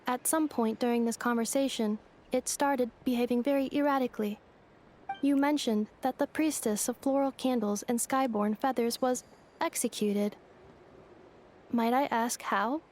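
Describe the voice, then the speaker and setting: A courteous young female android voiced by a woman in a video game. Her voice is fairly high, with an earnest, formal, matter-of-fact delivery. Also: steady tone, moderate pace, a little mellow, slight background noise, natural voice. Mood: apologetic, puzzled.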